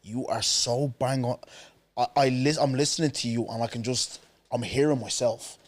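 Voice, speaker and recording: A man's voice: medium pitch, steady tone, normal speed. A man hosting a casual podcast chat, affirming his guest in slangy, rambling talk. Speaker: podcast host.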